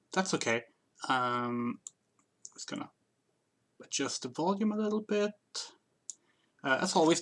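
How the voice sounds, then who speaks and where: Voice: mid-range voice, fairly bright tone. A game streamer giving casual, rambling, explanatory livestream commentary in a hesitant way. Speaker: game streamer, channel host. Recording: game music behind the voice.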